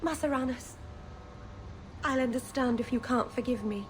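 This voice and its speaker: A woman voicing an earnest, soft-hearted young elven mage full of remorse in a video game. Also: high voice, moderate pace, a little hiss or hum, close to an everyday voice. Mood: remorseful, shaken. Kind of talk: video game character dialogue.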